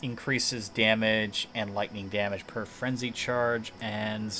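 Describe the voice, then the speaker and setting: A man's voice: on the low side, flat delivery, slow pace, bright, forceful tone, slight background noise. A man chatting casually and thinking aloud as he streams a video game, in a playful manner.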